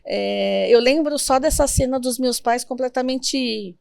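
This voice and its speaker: A Brazilian woman in her fifties, a guest in an interview, telling family stories in a reflective, gentle way. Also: high-pitched, a little slow, creaky, dry recording. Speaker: guest, sworn translator. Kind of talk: interview conversation.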